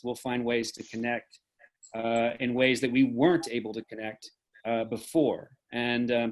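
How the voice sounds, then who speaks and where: Earnest man hosting an online event. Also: mid-range voice. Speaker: host and moderator. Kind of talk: earnest closing remarks to an online audience. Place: indoors, on a video call. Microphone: video call.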